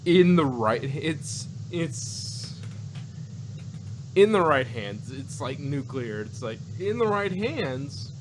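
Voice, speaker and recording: A man's voice: fairly high pitch, very animated, takes it slowly, background noise. A man co-hosting a casual, rambling review chat with a wry, sarcastic manner. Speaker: podcast co-host reviewing audio dramas.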